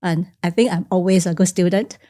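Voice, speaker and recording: A woman from China whose first language is Teochew, speaking softly and reflectively as a guest in a studio podcast interview. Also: on the low side, fairly even intonation, brisk. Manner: soft-spoken and reflective, simple phrasing with small grammar slips. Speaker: guest, career coach. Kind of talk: podcast interview, personal storytelling.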